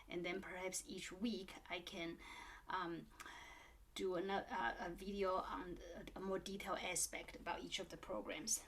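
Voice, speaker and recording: A woman's voice: mid-range voice, a bit bright. Middle-aged woman piano teacher, friendly and explanatory, loose with fillers. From born in Taiwan, grew up in New Zealand. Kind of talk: casual talk to camera answering a viewer question.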